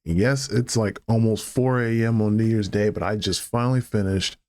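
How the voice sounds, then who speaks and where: Low-voiced man, casual vlog reaction. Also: fairly even intonation, moderate pace, darkish tone. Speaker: YouTube host giving episode reactions. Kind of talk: casual solo vlog review.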